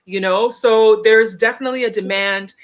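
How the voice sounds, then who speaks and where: A woman hosting a live-streamed interview, chatty and relaxed with frequent fillers. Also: on the high side, normal speed. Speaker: podcast host.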